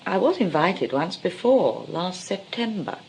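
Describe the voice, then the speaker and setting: An actress playing a flirtatious, determined society woman in a 1920s comedy of manners, gushing, archly polite and quick to take offence. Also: fairly low pitch, big pitch swings, vocal fry, a little mellow, a little hiss or hum, full character voice, low-quality recording. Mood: coy admiration. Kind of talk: 1920s English comedy of manners stage acting.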